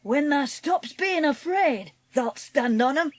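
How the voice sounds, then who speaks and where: High-voiced Yorkshire country lad. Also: female voice, expressive, takes it slowly. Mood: gentle, reassuring. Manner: plain-spoken, reassuring. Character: kindly, encouraging Yorkshire country boy. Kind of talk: dramatised audiobook acting. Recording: noticeable background noise.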